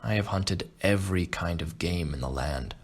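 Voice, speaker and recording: A man playing a refined, boastful aging Russian aristocrat and hunter in an audiobook, speaking in a measured, pompous way. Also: deep voice, slightly rough. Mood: boastful, urbane. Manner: pompous, measured, self-satisfied. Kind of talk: audiobook character acting.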